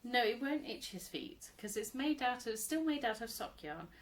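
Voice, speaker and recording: A woman in her thirties, chatting casually at home about her crochet, a little croaky from a cold, rambling and laughing often. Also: a little high, expressive, normal speed, a little hiss or hum, poor audio. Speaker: crochet vlogger, mother. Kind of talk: casual show-and-tell chat.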